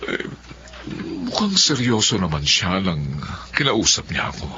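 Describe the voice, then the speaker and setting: Father role: earnest, trusting, lively swooping delivery, radio drama, muffled sound. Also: deep voice, unhurried, full character voice. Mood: eager, earnest. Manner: animated, persuasive. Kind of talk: Filipino radio drama acting. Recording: dull, noisy radio drama.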